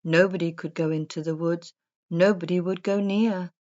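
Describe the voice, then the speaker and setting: A woman narrating a rhyming children's story in a deliberate, sing-song storybook manner. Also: lowish voice, fairly even intonation, a little mellow. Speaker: story reader. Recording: dull, muffled lo-fi sound.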